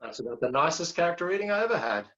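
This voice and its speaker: A dry police officer. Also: male voice, a little high, big pitch swings, rapid-fire, fairly bright tone. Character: patient, dry-witted police officer man. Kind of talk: broadcast drama acting. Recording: dull lo-fi recording.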